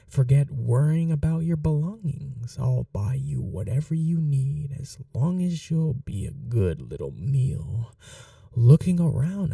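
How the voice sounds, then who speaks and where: A performer plays an obsessed, starving vampire in an ASMR roleplay. The voice is very dark and gravelly, swinging expressively between murmurs and breathy whispers. It lingers possessively over the words, hungry and coaxing. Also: medium pitch, no echo, clean studio sound, far from the mic, exaggerated voice. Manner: hushed, slow, lingering, often dropping into whispers.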